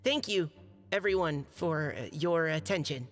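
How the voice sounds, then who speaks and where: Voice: medium pitch, lively intonation, a little slow, soft background music, close to an everyday voice. An actor voicing a shy, nervous young knight boy in a video game, polite and hesitant, with a stammer. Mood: formal, reading aloud.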